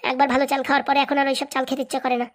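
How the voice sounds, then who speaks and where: A woman's voice: high-pitched, monotone, rapid-fire, natural voice. A woman playing a worried, grumbling housewife who frets over money in a comedy cartoon. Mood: anxious, complaining.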